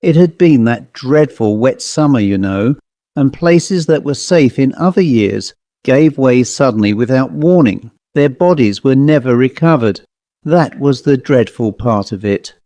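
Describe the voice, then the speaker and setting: A man's voice: medium pitch, lively intonation, a little slow, warm tone, no echo. A man voicing a self-possessed, sly fifteen-year-old girl in a storybook, with a poised, confiding manner. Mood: solemn, confiding.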